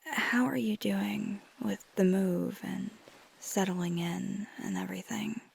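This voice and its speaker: A woman acts out a tender, flirty vampire woman in an intimate ASMR roleplay. Her voice is very breathy and often sinks into a whisper, with a crackly fry at the edges. She speaks slowly and gently, caring and a little wistful. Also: medium pitch, exaggerated voice. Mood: gentle concern. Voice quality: soft, breathy, mostly whispered. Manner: slow, gentle, hesitant, teasing.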